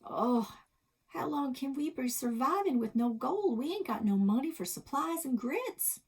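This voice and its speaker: Woman playing a feisty, husky Old West gunslinger gal. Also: sits high, animated, unhurried, a little mellow, pitched above the performer's own voice, exaggerated voice. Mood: plaintive. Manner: folksy cowboy talk, dramatic. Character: feisty young female Old West gunslinger gold panner.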